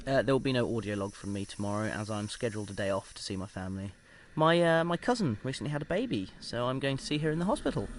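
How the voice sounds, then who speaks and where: A man's voice: mid-range voice, expressive, fast, hardly any pauses, natural voice. Guy playing a researcher doing his audio diary, clinical but chatty, bit hesitant, noise in the background. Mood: casual, unworried. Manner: hesitant, conversational, clinical report. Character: male research doctor calmly logging a sinister experiment. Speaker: research scientist recording an audio log. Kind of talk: audio drama diary monologue. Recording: clear background noise and ambience.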